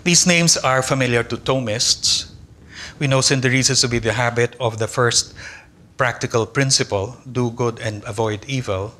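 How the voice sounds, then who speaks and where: Older male lecturer, Tagalog his first language; bright, measured voice, reads deliberately at a lectern mic in a lecture hall. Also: mid-range voice. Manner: measured, deliberate reading with careful pauses. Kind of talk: academic conference lecture read from a text. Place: large lecture hall with an audience. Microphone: lectern microphone.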